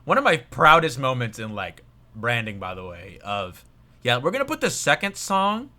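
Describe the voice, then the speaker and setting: Young male streamer, bright tone, rambling review chat, drops to a mumble often. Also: mid-range voice, very animated, moderate pace. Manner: rambling, many fillers, trails off quietly. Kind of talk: casual review chat on a livestream.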